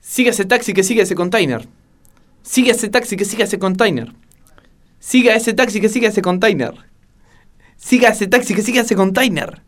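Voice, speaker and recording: A man doing an urgent, high-pitched radio chase hero. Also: expressive, moderate pace, long pauses, slight background noise. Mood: urgent, insistent. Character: man barking orders in a radio chase parody. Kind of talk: radio play parody.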